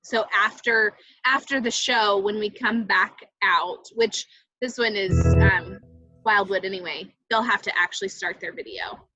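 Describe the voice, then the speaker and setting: A woman's voice: mid-range voice, a little slow, bright, forceful tone, faint music behind. A woman moderating a video call, thinking aloud hesitantly as she gives casual technical help. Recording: dull, dry video-call audio.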